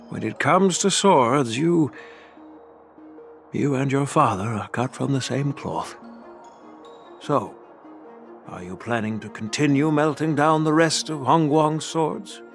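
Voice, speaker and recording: A man's voice: medium pitch, slow pace, pauses often, soft background music. An actor playing an elderly general and doting grandfather in a video game, patient and easily amused. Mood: probing, fond. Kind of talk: scripted game voice acting.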